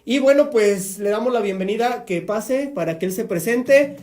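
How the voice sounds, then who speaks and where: A man's voice: high-pitched, normal speed, a little mellow, roomy sound. A man with a Mexican accent, a priest hosting a live-streamed religious talk show, chatty and preachy with joking asides. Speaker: priest and program host.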